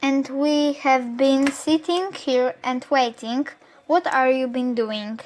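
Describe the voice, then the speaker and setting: Schoolgirl playing a drifter on the run, high voice, protective and urgent, radio play. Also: slow pace, a little mellow. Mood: exasperated. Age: child or teenager.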